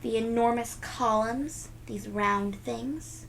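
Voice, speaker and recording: A woman reading a picture book aloud to young children, expressive and stopping to explain things simply. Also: on the high side, slow, vocal fry, a little hiss or hum, poor audio. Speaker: read-aloud storyteller.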